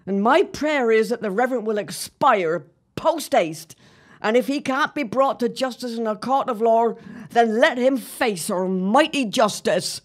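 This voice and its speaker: A woman's voice: on the high side, measured pace, lightly acted. A woman playing a blunt period-drama wife. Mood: bitter, vengeful. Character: sharp-tongued, vengeful, mournful wife and mother. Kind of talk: period audio drama acting.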